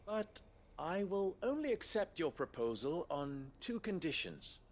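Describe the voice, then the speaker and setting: An actor playing an upright, wry town leader in a fantasy game, speaking in formal, eloquent dialogue. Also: male voice, on the high side, sing-song, unhurried, a little hiss or hum. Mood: firm, measured. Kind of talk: dramatic video game dialogue.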